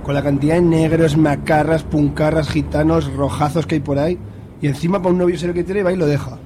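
A man's voice: fairly high pitch, level tone, fairly quick, noisy background, close to an everyday voice. A man acting a blustery, bigoted middle-aged conservative father with a Spanish accent in a radio drama. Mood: scornful, worried. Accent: Spain. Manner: blustery, grumbling. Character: grumbling bigoted hard-line conservative father. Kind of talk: colloquial radio drama dialogue.

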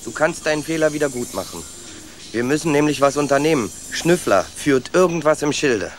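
An actor playing a plucky, loyal rat who leads and narrates in a children's radio play. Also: male voice, a little high, expressive, a little fast, a little hiss or hum, lightly acted. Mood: insistent. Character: bossy, plucky male young rat, loyal older brother.

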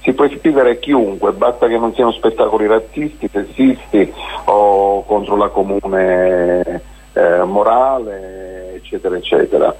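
A man's voice: lowish voice, steady tone, measured pace, darkish tone, slightly rough, poor audio. A man, a festival organizer being interviewed on the radio, talking in a rambling, hesitant way with many fillers and restarts. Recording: radio broadcast.

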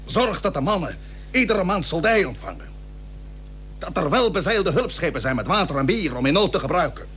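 An actor playing a blunt, dutiful middle-aged admiral in a period radio drama, speaking earnest, formal, old-fashioned Dutch. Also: male voice, high voice, sing-song, normal speed, a bit bright. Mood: firm, demanding. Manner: plain-spoken, earnest, formal. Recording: humming, noisy old radio recording.

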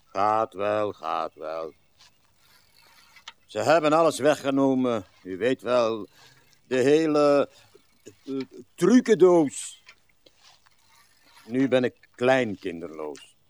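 An elderly gentleman in a radio drama, in a medium-pitched voice. He is doleful and resigned, grumbling haltingly yet with old-fashioned courtesy. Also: very animated, smooth. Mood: mournful. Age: older.

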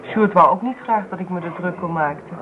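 A woman's voice: on the low side, a little fast, lightly acted. An actress playing a polite, earnest, deferential young woman in a radio drama, speaking apologetically. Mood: apologetic, earnest. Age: young adult. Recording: tinny, noisy old radio recording.

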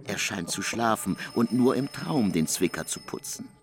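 An actor playing a literary narrator in a radio play, relaying someone's account in a deliberate, expressive storytelling manner. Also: male voice, on the low side, over music. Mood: intent, storytelling.

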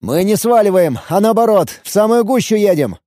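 A man's voice: high-pitched, very animated, moderate pace, mellow, no room sound, clean studio sound, pitched above the performer's own voice. A man playing a bold, brash fighter and leader with a commanding, urgent delivery in an audiobook. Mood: urgent, brisk.

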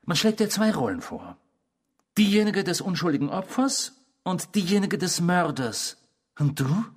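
A husky, mocking inner demon. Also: male voice, high voice, sing-song, unhurried, full character voice. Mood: sneering. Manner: mocking, insinuating, theatrical. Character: sardonic tormenting inner voice claiming to be a man's destructive self. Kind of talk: radio drama acting.

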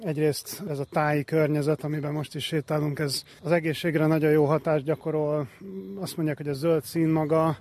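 A man's voice: on the high side, little pitch movement, few pauses, a little mellow. A man being interviewed outdoors as a conservation expert, explaining as he walks. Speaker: head of a forest conservation programme, interviewee. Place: outdoors, walking in a nature reserve. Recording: faint outdoor background noise.

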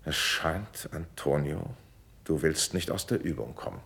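Calm commanding prince, low voice, courtly measured verse, classical radio drama. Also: male voice, vocal fry, full character voice. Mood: calmly commanding. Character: calm, authoritative prince, fatherly mediator of quarrels.

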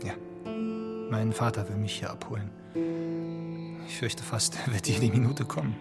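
An actor playing a charming, sentimental young gentleman in a radio drama, courteous and given to hesitant pauses. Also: lowish voice, steady tone, loud music behind the voice, close to an everyday voice. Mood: regretful.

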